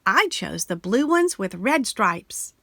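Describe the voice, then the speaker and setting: A woman reading a children's picture book aloud in an animated storytelling way, slowing down and sinking low on the repeated refrain. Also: creaky, no room sound. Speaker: storybook reader.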